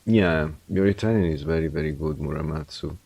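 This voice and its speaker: A man's voice: extremely low pitch, fairly quick, creaky, darkish tone, breathy edge, dry recording. A man streamer chatting casually and giving reflective, rambling advice on learning languages, often restarting his sentences. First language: English. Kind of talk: casual livestream chat.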